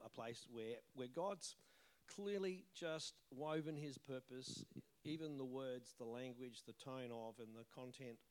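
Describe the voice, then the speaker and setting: A man preaches in a church auditorium at a mid pitch, chatty and self-deprecating, then he lifts sharply on the words he wants to land. A faint croak from a fading cold lingers in his voice. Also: darkish tone. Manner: conversational, joking asides, emphatic rises on key words. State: tail end of a cold, occasional croak. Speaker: visiting pastor. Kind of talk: sermon with prayer.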